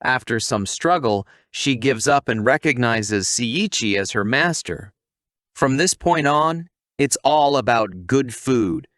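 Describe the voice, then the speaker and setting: A man's voice: medium pitch, expressive, slow pace, fairly bright tone, no echo, studio quality. A man narrating a scripted story recap, with casual asides to the listener.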